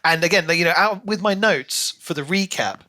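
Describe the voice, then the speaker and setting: Male podcast co-host, slightly high pitch, sharp bright tone, animated casual book chat, acts out imagined lines. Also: brisk.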